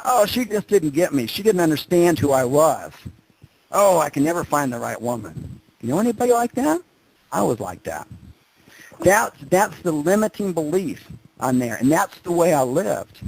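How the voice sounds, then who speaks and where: A man's voice: fairly high pitch, very animated, normal speed, vocal fry, slight background noise, poor audio. A man giving a motivational presentation, telling stories informally and repeating phrases for emphasis. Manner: informal, conversational storytelling. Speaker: motivational speaker.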